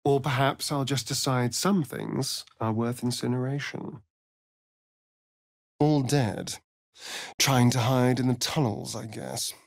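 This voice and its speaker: An actor with a British accent playing a sardonic, vain elven vampire rogue in a fantasy video game, with an arch, drawling, mocking delivery. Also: male voice, medium pitch, takes it slowly, creaky, pauses often, dry recording, clean studio sound. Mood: serious, wounded.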